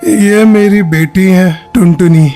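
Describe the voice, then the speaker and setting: Man playing a sick old father bird, slow and weak, cartoon story, soft music. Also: high-pitched. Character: dying old father bird, frail and gentle.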